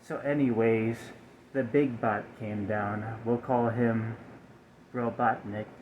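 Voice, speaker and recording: A man voicing a stiff, aggrieved, malfunctioning robot in a soft-spoken ASMR roleplay, with formal, halting, over-precise phrasing. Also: on the low side, fairly even intonation, phone-quality sound, slight background noise.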